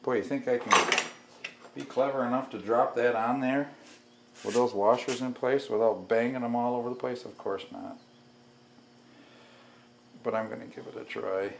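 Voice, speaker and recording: A man's voice: mid-range voice, fairly even intonation, moderate pace, gravelly, long pauses. Middle aged guy muttering thru a diy job, folksy, roomy garage sound w some clatter. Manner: folksy, self-deprecating, muttering to himself and the viewer. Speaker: DIY workshop host. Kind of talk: casual hands-on build narration, thinking aloud. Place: indoor garage workshop. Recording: echoey workshop, clattering tool noise.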